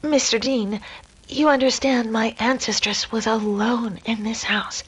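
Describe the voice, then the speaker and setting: Woman acting as earnest young lady in 1930s radio play, high voice, bit breathy and husky, dramatic. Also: steady tone, measured pace, rough recording. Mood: earnest, hushed. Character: nervous, polite young lady, reenacting her defiant ancestress.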